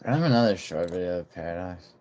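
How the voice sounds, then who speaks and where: A man's voice: low-pitched, expressive, fast, darkish tone. A young man hosting a casual livestream, rambling, swearing and reading viewer chat aloud. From born in Italy, raised in New York City. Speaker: livestream host.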